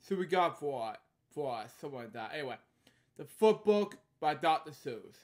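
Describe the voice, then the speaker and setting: A man in his thirties with a somewhat higher-pitched voice reads a children's book at home. He delivers it in short, halting phrases. Between them he drops casual, repetitive asides as the host of a homemade story series. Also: very animated, darkish tone. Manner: halting, repeating stock phrases between short read lines. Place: small indoor room at home.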